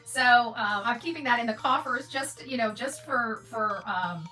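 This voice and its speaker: A woman's voice: a little high, moderate pace, pushed and bright. An American woman hosting an online channel, talking casually to viewers in a rambling, emphatic way that repeats phrases. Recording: distant mic, music behind.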